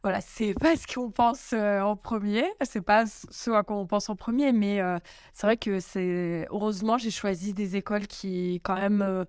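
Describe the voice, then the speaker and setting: A young woman speaks in a smooth, clear voice, answering questions casually and thinking aloud. She hesitates often and restarts, filling gaps with small sounds. The sound is dry and close. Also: mid-range voice, fairly even intonation, normal speed, a little mellow, dry recording. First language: French. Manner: hesitant, many fillers and restarts. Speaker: guest. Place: home in Italy.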